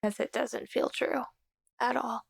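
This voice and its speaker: A woman's voice: a little high, fairly even intonation, a little slow, creaky, slightly rough. A woman player casually narrating her character in a tabletop game, thoughtful and hesitant.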